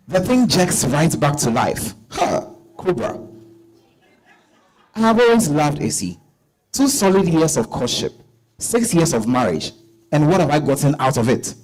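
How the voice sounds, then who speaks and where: An actor with a Ghanaian accent playing a lustful, resentful husband in a theatrical stage reading. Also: male voice, a little high, dark tone, rough recording.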